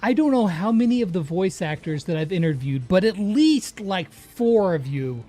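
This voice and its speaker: A woman's voice: fairly low pitch, animated, moderate pace, mellow, soft background music. A woman hosting a casual interview, informal and affirming as she chats with her guest. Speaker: interviewer, host.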